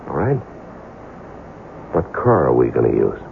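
A man's voice: extremely low pitch, huge pitch swings, unhurried, vocal fry, full character voice. An American actor playing a shrewd, wary man in a radio drama, speaking in a wry, sceptical way. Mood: sceptical, exasperated. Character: shrewd, wary man being drawn into a murder plot. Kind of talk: 1970s radio drama acting. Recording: tinny, faintly hissy old radio broadcast.

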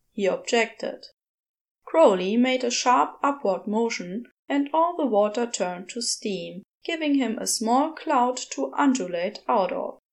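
A woman reading a story aloud as scripted fiction narration. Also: a little high. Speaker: podfic reader.

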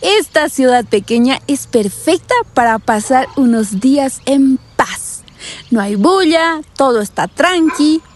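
A woman playing a breezy, skeptical and easily annoyed vacationer in an audio drama, colloquial and expressive in delivery. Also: high-pitched, slow, heavily acted. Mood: relaxed, carefree. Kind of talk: scripted audio drama acting.

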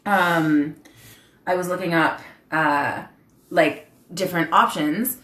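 Woman chatting on a podcast, gets loud n animated, roomy echo. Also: fairly low pitch, takes it slowly. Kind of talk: casual chat. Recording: echoey room.

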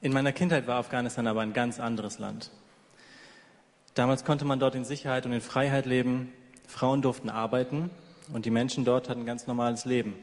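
A man's voice: medium pitch, level tone, brisk. A man working as a live interpreter, relaying a sermon passage by passage in a church. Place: large echoing church hall. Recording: long hall reverb.

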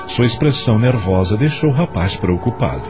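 Low-voiced male radio drama narrator over music. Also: lively intonation, brisk.

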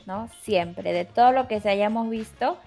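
A woman's voice: mid-range voice, measured pace, vocal fry, mellow, faint music behind. A young woman with a Peruvian Spanish accent, chatting casually as a podcast host. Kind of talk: casual group chat about childhood memories.

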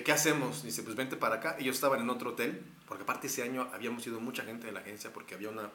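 A man's voice: on the low side, bright, forceful tone, reverberant, slight background noise. A man with a Mexican accent, telling a long story casually with slang, fillers and restarts. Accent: Mexican Spanish. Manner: rambling, many fillers and restarts.

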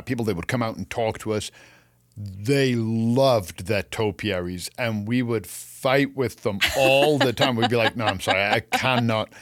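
A man's voice: medium pitch, no room sound, studio quality. A man with a Canadian accent co-hosting a podcast, chatting casually and sharing opinions and anecdotes in a conversational way. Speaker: podcast co-host, gardener and landscaper.

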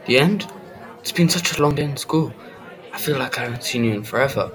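A man's voice: medium pitch, brisk, a bit bright, a faint crowd, natural voice. A young male student playing a defensive, stubborn teenage basketball player in an audio drama.

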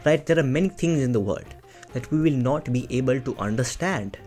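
A man's voice: mid-range voice, animated, a little fast, a little mellow, soft background music, close to an everyday voice. A man putting on an exaggerated Indian accent, speaking in a grandiose, repetitive, mock-dramatic way. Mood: mock-grand. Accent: put-on Indian.

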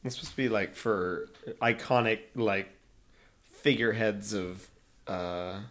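A man's voice: fairly low pitch, unhurried. A man in his thirties co-hosting a podcast in casual, playful banter, often laughing. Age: 30s. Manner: playful, giggly, breaks into loud laughs. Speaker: podcast co-host.

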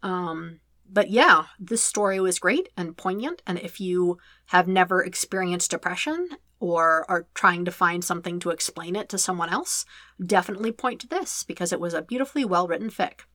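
Woman, podfic reader. Candid rambling afterword, low voice with a bright edge, dry close sound. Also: moderate pace, dry recording. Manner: candid, rambling with many fillers. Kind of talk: casual spoken afterword.

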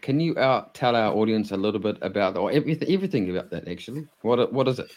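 A man's voice: lowish voice, expressive, quick pace, a little mellow, no echo. A man hosting a podcast, introducing the guest in an upbeat presenter's manner. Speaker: podcast host. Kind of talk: podcast interview. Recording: music playing behind.

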